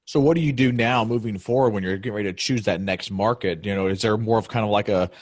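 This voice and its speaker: A man hosting a podcast, asking questions in a brisk conversational way. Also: lowish voice. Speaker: podcast host. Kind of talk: interview questions.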